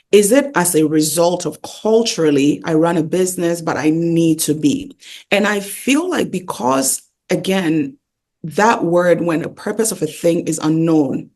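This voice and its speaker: Gravelly, husky woman teaching. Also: lowish voice, a little mellow. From Ghana. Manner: emphatic, repeats key phrases, rhetorical questions. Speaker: interview guest, pastor and wellness coach. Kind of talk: conversational teaching.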